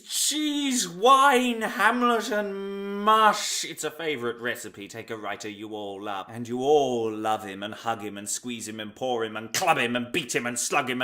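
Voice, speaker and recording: A man's voice: a little high, sharp tone, no echo, studio quality. An actor playing an unhinged, middle-aged male academic in an audio drama monologue, rambling and theatrical, given to muttering and ranting. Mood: screaming exasperation, then bitter ranting.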